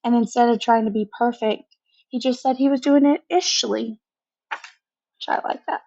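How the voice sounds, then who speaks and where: A woman reading a picture book aloud to children in an expressive, conversational way, pausing for casual asides of her own. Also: fairly high pitch, highs cut off. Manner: expressive, conversational, pauses to comment.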